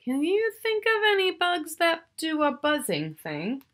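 A woman's voice: very high-pitched, wildly swooping pitch, measured pace, fairly bright tone. A middle-aged woman reading a picture book aloud to young children, expressively, drawing out key words and chatting to the listener. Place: small furnished room at home, indoors.

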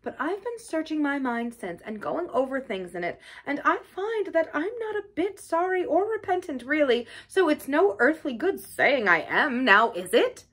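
A woman's voice: high-pitched, big pitch swings, normal speed, hardly any pauses, a bit bright, voice pushed up. A woman voicing a stubborn, boastful male toad in a storybook, emphatic and gushing in manner. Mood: impatient, flattering.

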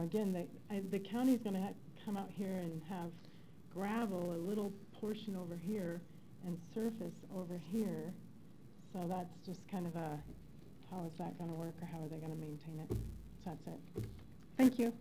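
A woman's voice: on the low side, normal speed, warm tone, rough recording. A middle-aged woman, a resident giving informal public comment at a podium microphone in a council chamber. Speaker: resident speaking at a public hearing. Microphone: gooseneck podium microphone. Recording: faint background noise, public meeting room.

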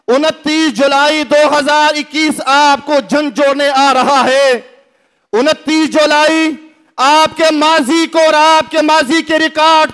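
A middle-aged man with a Pakistani Urdu accent, giving an impassioned speech at a lectern in a packed hall, rising to shouts and rhetorical questions. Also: very high-pitched. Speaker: religious party speaker. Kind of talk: fiery rally speech. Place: large packed hall, indoors. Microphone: lectern mic.

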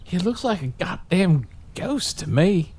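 An actor playing a short-tempered, threatening kidnapper. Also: male voice, sits high, very animated, measured pace, darkish tone, heavily acted. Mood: menacing. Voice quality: gruff, threatening. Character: menacing short-tempered male kidnapper driver.

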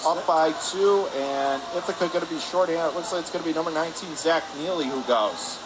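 A man doing live radio play-by-play hockey commentary in rapid, descriptive calls. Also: fairly high pitch. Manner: rapid descriptive calls, excited on scoring chances. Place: press area above rink in an indoor arena. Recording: noisy ice arena, steady background din.

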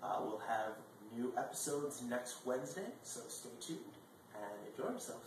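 A man's voice: mid-range voice, lively intonation, normal speed. Young man in a very echoey room. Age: 20s. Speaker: video blog host. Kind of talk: casual chat to camera. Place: indoor dining room at a table. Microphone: far camera microphone. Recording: echoey room, distant mic, faint noise.

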